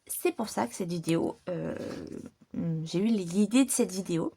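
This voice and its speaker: A woman chatting informally and unscripted to her viewers as a hobby channel host, in a relaxed, rambling manner. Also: on the low side, normal speed, creaky, a little hiss or hum. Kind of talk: casual unscripted chat to viewers.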